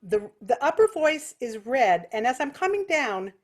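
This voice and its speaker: A woman's voice: on the high side, very animated, moderate pace. A woman giving an informal singing lesson as a vocal technique teacher, explaining things with vivid imagery.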